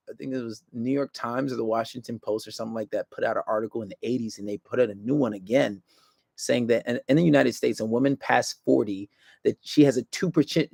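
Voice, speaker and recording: Creaky-voiced, blunt male talk show host. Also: mid-range voice, darkish tone, no echo. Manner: blunt, coarse language. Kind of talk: casual opinionated talk with a live caller.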